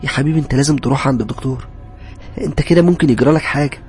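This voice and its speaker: An affectionate, worried Egyptian wife, as a male storyteller acts her out. The questions come in a raised pitch, concerned and caring, over soft music. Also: very fast, natural voice.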